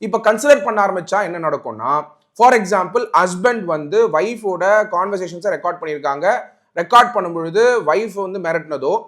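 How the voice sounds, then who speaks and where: A man's voice: high voice, expressive, brisk, fairly bright tone. A man with a Tamil accent giving an explanatory legal talk, didactic, restating key points as he goes. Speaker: advocate presenting a legal explainer.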